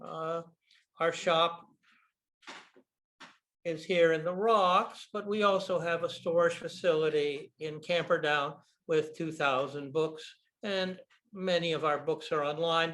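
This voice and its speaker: An older American man giving a slide presentation over a video call, speaking deliberately with frequent pauses and fillers. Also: a little high, steady tone, fairly bright tone. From United States. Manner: deliberate, with frequent ums and uhs. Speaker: guest speaker, bookshop owner.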